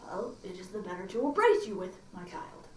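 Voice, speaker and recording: A woman's voice: mid-range voice, sing-song, a little fast, roomy sound, recorded from a distance, pitched below the performer's own voice, lightly acted. A woman voicing a wicked, hungry storybook wolf who coaxes slyly while pretending to be a kindly grandmother. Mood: sly, menacing.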